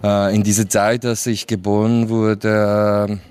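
A man's voice: fairly low pitch, level tone, a little slow, a little mellow, smooth. A man, talking as a guest in a casual, hesitant way with many fillers and laughs. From Kurdish region of Iran. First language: Kurdish. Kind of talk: casual interview talk.

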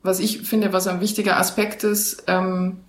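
A woman's voice: medium pitch, flat delivery, moderate pace, reverberant. A woman interviewing a guest, thinking aloud with frequent fillers as she shapes her questions. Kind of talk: conversational interview.

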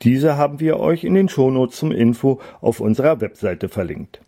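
A man presenting a radio magazine and putting interview questions in a measured, articulate, scripted style. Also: mid-range voice, lively intonation, mellow.

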